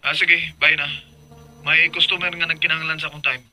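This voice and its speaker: Radio drama boyfriend: young, Cebuano accent, sharp bright tone, high-pitched, brisk questioner. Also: male voice, level tone, poor audio. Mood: curious, puzzled. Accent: Filipino, Cebuano. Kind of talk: Philippine radio drama acting.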